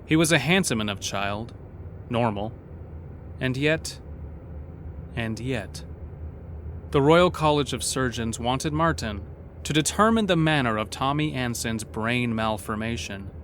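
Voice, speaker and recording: Measured male horror story narrator. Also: medium pitch, a bit bright, slight background noise. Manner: measured, literary.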